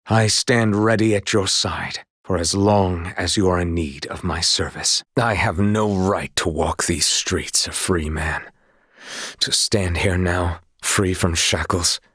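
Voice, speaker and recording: An earnest young knight for a video game, loyal and honorable. His voice sits on the low side with a husky grain. He speaks in courtly, old-fashioned phrases, recorded very dry. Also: a bit bright, dry recording, heavily acted. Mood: humble, troubled.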